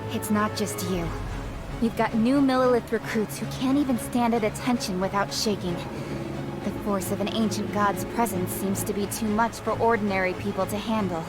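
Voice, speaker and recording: A woman's voice: medium pitch, steady tone, moderate pace, close to an everyday voice, poor audio. A woman playing a grave, determined official in a video game, speaking earnestly and matter-of-factly. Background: game music.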